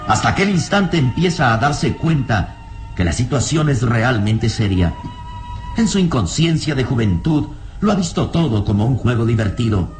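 A man narrating a radio drama in a solemn, theatrical scripted style. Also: on the low side. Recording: vintage radio drama with music behind.

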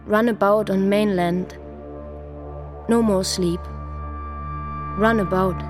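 A woman playing a Fuegian native who gives testimony in halting broken English in a radio play. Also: mid-range voice, fairly even intonation, natural voice. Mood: halting, testifying. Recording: voice over prominent music bed. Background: music.

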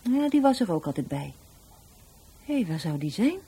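A woman's voice: fairly low pitch, big pitch swings, normal speed, dark tone, husky, full character voice. An actress playing a blunt, cynical woman in a radio drama, with a dry, nonchalant way of talking. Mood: dismissive. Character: blunt, offhand, cynical sister of a murder victim.

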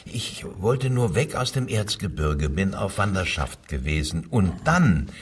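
An actor playing a nervous, evasive, husky-voiced working man under interrogation in a German radio crime drama, hesitant and anxiously deferential. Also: low-pitched, very animated, unhurried, distant mic, a little hiss or hum. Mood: defensive. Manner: hesitant, stammering, short evasive answers.

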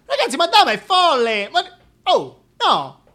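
A man's voice: extremely high pitch, huge pitch swings, a little slow, sharp tone, full character voice. A man playing a flustered, foul-mouthed presenter in a comic radio play, agitated, stammering and colloquial. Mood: indignant, flustered. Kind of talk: theatrical comic monologue.

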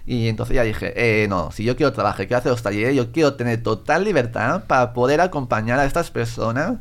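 A man's voice: medium pitch, a little fast. A man with an accent from Spain chatting casually as a guest, telling stories and acting out what people said. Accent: Spanish from Spain. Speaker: guest, social educator and bookshop founder. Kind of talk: casual conversational storytelling.

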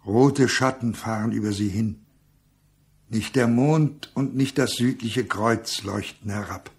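Slow, husky, grave narrator. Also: male voice, on the low side, full character voice. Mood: solemn. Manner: solemn, measured. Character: grave narrator of a jungle tale. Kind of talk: poetic radio play narration.

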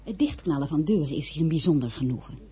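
A woman playing a spirited, proud and headstrong young merchant's daughter in a radio drama, with formal, expressive delivery. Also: sits low, fast talker, heavy background noise. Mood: narrating. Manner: crisp formal refusals, indignant and expressive. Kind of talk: radio drama acting with first-person narration.

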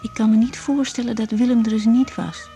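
A woman playing an earnest, caring young doctor in a radio drama, speaking in a hesitant, halting way. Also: fairly high pitch, fairly even intonation, warm tone, faint music behind, natural voice. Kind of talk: 1980s Dutch radio drama acting. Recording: noisy 1980s radio recording.

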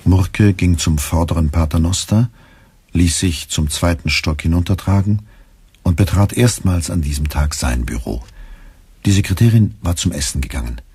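Male radio play narrator, very deep, husky, dry studio sound. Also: animated, normal speed, mellow, dry recording.

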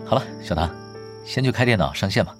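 Man acting low-voiced, laid-back gamer guy. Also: lively intonation, faint music behind, close to an everyday voice. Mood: wry, easygoing. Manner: teasing, unhurried. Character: laid-back, teasing male pro gamer, quietly confident team leader. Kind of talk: Chinese audio drama acting.

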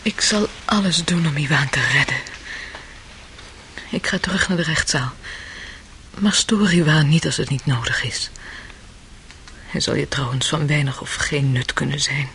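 An actress playing a proud, bitter woman in a radio drama, often speaking in hushed tones. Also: sits low, normal speed, long pauses, slight background noise. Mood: cool, resolute. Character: proud, bitter woman tending a sick loved one.

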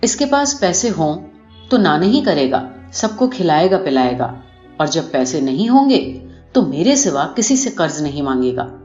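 A woman reading a short story aloud in an expressive, scripted narration style. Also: low-pitched. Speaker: audiobook narrator. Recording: muffled, roomy recording with music behind. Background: music.

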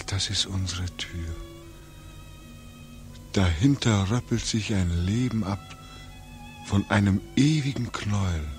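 An actor playing a homesick, brooding young man back from war in a 1940s radio drama, with halting, repetitive, anguished speech. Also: on the low side. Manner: halting, repetitive, swinging into agitated questioning. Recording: 1940s radio recording, music behind.

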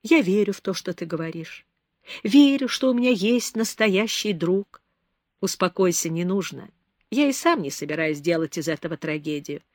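A woman reading aloud, voicing a weary grown man who speaks softly and tenderly to calm a girl. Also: fairly low pitch, normal speed, dry recording, clean studio sound. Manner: soft, tender, calming.

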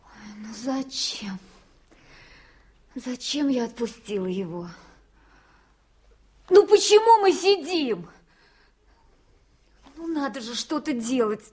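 A woman's voice: high voice, a little mellow, exaggerated voice. An actress playing an anxious, impulsive, caring young woman in a Soviet radio drama.

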